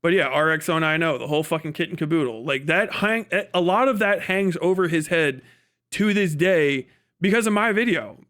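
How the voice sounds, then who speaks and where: A man's voice: on the high side, normal speed, a bit bright. A man livestreaming casual, unscripted political commentary in an exasperated, emphatic way, swearing often and repeating himself to drive points home. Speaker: livestream commentator reacting to clips.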